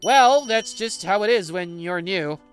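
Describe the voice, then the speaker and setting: A man playing an easygoing, patient office senior in an English manga dub, with an expressive, conversational delivery. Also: high voice, measured pace, soft background music.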